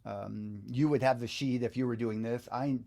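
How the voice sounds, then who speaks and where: A middle-aged man giving a casual step-by-step tutorial, unhurried and informal, often thinking aloud as he works. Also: medium pitch, fairly even intonation, gravelly, dark tone. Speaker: guitar teacher giving a software tutorial.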